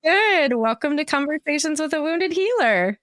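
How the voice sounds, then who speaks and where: A woman's voice: high voice, expressive, normal speed, fairly bright tone, dry recording. A woman hosting a podcast, chatting casually and informally in an effusive way. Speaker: podcast host. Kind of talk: casual warm interview chat.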